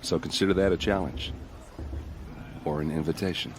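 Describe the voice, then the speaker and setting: A friendly video game warrior with a deep voice. He is plain-spoken and wry, proud of his people and given to folksy boasting. Faint ambient noise sits behind him. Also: steady tone, normal speed. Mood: proud, inviting. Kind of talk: game cutscene dialogue acting.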